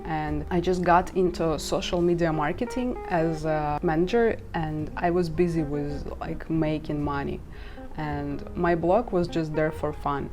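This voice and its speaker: A woman in her twenties, from Ukraine and a native Russian speaker, talking candidly to camera about earning money online, laughing at herself now and then. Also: deep voice. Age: 20s. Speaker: vlogger. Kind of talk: casual talk to camera. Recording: guitar music playing behind.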